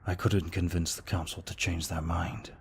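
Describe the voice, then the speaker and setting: A man playing a courteous, protective young vampire aristocrat in an ASMR audio roleplay, speaking formally in a hushed, measured way. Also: low-pitched, flat delivery, a little hiss or hum, lightly acted. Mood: regretful, earnest. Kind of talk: intimate ASMR roleplay acting.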